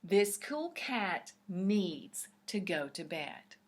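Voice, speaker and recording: A woman's voice: lowish voice, big pitch swings, extremely slow, heavily acted. A woman voicing a laid-back, groovy young male cat in a children's storybook, with hip, easygoing slang. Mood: weary.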